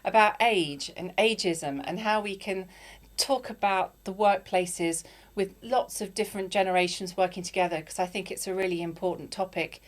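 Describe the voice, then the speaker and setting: A woman's voice: mid-range voice, moderate pace. A woman hosting an interview podcast, encouraging her guest and chiming in with frequent affirmations. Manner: warm, encouraging, with lots of affirming "yeah"s. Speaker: podcast host. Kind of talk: conversational interview.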